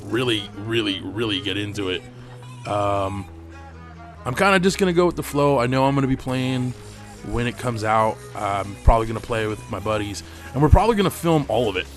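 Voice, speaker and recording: Tired dude with a fading voice, rambling gamer chat, mid pitch, faint game music behind. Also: male voice. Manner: rambling, laid-back, swears freely. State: tired, losing his voice. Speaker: gaming channel host. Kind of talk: casual commentary over gameplay.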